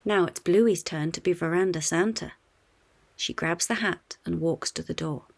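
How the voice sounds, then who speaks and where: A woman reading a children's storybook aloud in an expressive scripted narration for young listeners. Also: on the low side, moderate pace, creaky.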